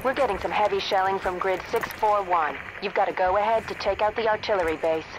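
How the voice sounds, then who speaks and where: An actress playing a businesslike military dispatcher, speaking in clipped, official phrases over a radio in a war game. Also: female voice, medium pitch, fast. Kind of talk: military video game acting. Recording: radio transmission over loud vehicle noise.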